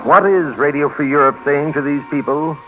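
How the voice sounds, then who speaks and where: A man's voice: fairly high pitch, moderate pace. An American man narrating a scripted 1950s radio documentary with a formal announcer delivery. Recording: faintly noisy old radio recording.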